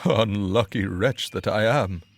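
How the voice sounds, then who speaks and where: A man voicing a cruel, grumbling male carter who speaks loudly and roughly. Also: fairly low pitch, measured pace, background noise. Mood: self-pitying.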